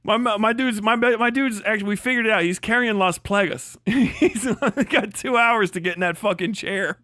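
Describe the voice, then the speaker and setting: A man's voice: high voice, normal speed, bright, forceful tone, no echo, clean studio sound. A man livestreaming mocking, profanity-heavy reaction commentary as host, in a crude, exclamatory riffing manner. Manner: often breaks into laughter at own riffs.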